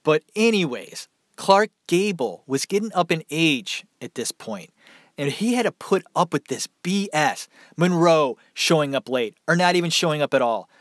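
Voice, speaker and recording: A man's voice: fairly high pitch, lively intonation, moderate pace, fairly bright tone, no echo. A man hosting a podcast, telling a story in a loose, rambling way with many fillers, from a small room at home, his voice dry and tiring. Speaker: podcast host. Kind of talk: casual solo storytelling.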